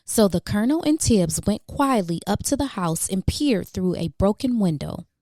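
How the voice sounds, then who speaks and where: A woman narrating a children's storybook expressively, with measured, dramatic emphasis for young listeners. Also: medium pitch, animated, mellow, no echo. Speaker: children's book reader.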